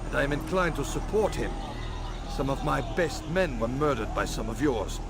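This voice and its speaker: A man's voice: medium pitch, animated, moderate pace, gravelly, heavy background noise. An actor playing a haughty, suspicious crusader king with a commanding manner in a dramatic video game. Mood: stern. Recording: music behind the voice.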